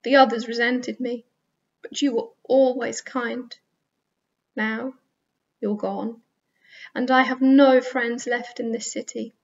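A lonely outsider grieves with quiet regret in a storybook reading, as a woman puts her on. Her voice sits a little high and mournful. The recording sounds somewhat dull. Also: steady tone, slow pace, creaky, long pauses, far from the mic, heavily acted.

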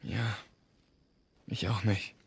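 A man voicing an anxious, withdrawn young boy in a German video game dub, subdued and guarded, with a husky voice. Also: on the low side, flat delivery, extremely slow, full character voice. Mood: anxious, brooding. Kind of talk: German video game dubbing, naturalistic dialogue.

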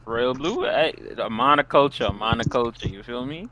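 A man's voice: mid-range voice, animated, moderate pace, bright, forceful tone, a little hiss or hum. A young man hosting a casual speed-date game on a video call, teasing and repeating his fillers. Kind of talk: casual chat on a group call.

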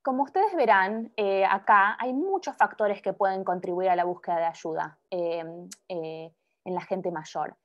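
A young woman presenting her doctoral research over a video call, pausing often with fillers and restarts. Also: mid-range voice. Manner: hesitant, many filler sounds and restarts. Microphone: laptop microphone on video call. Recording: muffled video call audio.

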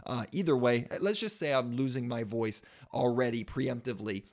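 Raspy congested guy hosting his podcast, studio mic, little home studio, lively and jokey. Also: medium pitch, normal speed. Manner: energetic, self-mocking, gestures while talking. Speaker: podcast host. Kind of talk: casual sports podcast talk. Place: small home studio room. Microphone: studio mic on boom arm, headphones.

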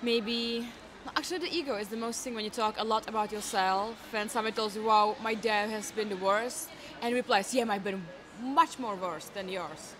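A woman's voice: mid-range voice, normal speed, hardly any pauses, noisy background. A young woman from the Czech Republic with a Czech accent, chatting in English in a casual interview and sometimes searching for words. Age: young adult. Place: indoor cafe. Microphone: handheld microphone. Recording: music playing behind.

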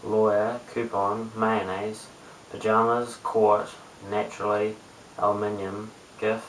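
A young man in his late teens with a New Zealand accent, chatting casually to camera in a low-key, hesitant mumble. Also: level tone, takes it slowly, fairly bright tone. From Christchurch, New Zealand. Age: young adult, late teens. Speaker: amateur video blogger. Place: small bare room indoors. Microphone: webcam at a short distance. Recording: roomy, distant webcam mic with hiss.

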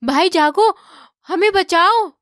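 Actress as anxious young cartoon village wife, earnest, very high voice, dry recording. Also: female voice, slow pace, a bit bright, no room sound, heavily acted. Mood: frightened.

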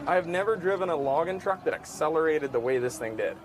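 A man's voice: a little high, lively intonation, a little fast, loud music behind the voice. A man in his thirties, a trucker, answering interview questions in an easygoing way outdoors. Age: 30s. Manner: easygoing, laughs a little. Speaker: trucker and inventor.

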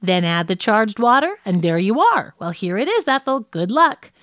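Woman reading as wry, animated male radio comedian. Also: medium pitch, moderate pace, no room sound, studio quality. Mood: breezy, joking. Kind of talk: reading quoted comedy script in an audiobook.

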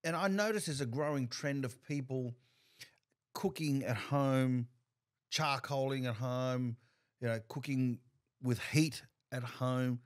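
Halting man hosting a podcast. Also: medium pitch. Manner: halting, repeats words while thinking. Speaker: podcast host. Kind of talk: casual interview chat. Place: bar-like studio room, indoors. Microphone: desk mic on a stand.

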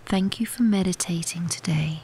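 A woman guiding a scripted meditation in a soft, breathy near-whisper, calm, soothing and unhurried with long pauses. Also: lowish voice. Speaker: meditation guide. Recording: steady background hiss.

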